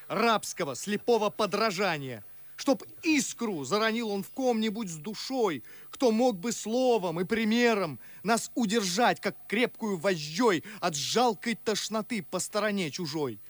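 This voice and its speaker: High-pitched impassioned young gentleman, declaiming. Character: indignant, eloquent young gentleman railing at imitation of foreigners. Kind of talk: theatrical radio play verse monologue.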